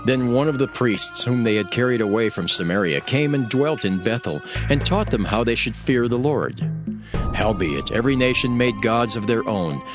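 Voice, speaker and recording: Male scripture narrator, animated and solemn. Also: medium pitch. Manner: solemn, storytelling. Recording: music bed under the voice.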